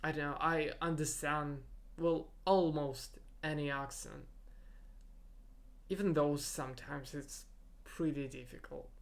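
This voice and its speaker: A young man whose first language is Russian, thinking aloud in a halting solo podcast monologue and searching for his words. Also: fairly high pitch, fairly even intonation, vocal fry. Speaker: podcast host.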